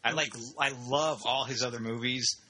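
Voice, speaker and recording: A man's voice: medium pitch, fairly even intonation, normal speed, pushed and bright, rough recording. A man chatting casually with enthusiasm and strong opinions. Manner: enthusiastic, opinionated, says "dude". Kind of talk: casual chat.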